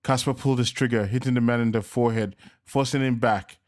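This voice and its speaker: A man narrating a crime storybook in a dramatic style, dropping low on tense moments. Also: fairly even intonation, fast, no echo, clean studio sound. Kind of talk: scripted audiobook narration.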